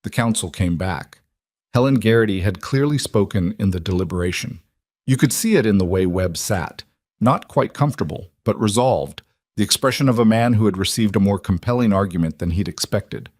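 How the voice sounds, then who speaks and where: Male storyteller, fairly low pitch, deliberate scripted western narration, dry close recording. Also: lively intonation, darkish tone, dry recording. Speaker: audiobook-style story narrator.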